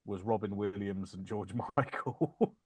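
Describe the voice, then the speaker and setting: A man's voice: on the low side, unhurried, mellow, husky, no room sound. A man co-hosting a casual podcast chat, making jokes and rambling with enthusiasm. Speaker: podcast co-host.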